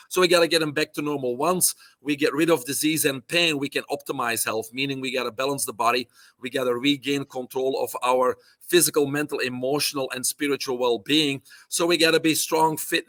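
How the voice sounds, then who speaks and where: A man's voice: medium pitch, fairly bright tone, no echo. A middle-aged man from Belgium with a Belgian accent, talking as a guest in a casual interview, listing things off with many fillers. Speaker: guest, holistic nutrition doctor.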